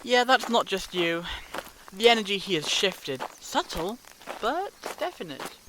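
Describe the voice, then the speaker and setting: A man's voice: high-pitched, very animated, a little slow, background noise. A man playing a wry, protective time-travelling investigator in a fan audio drama. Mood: intent, analytical.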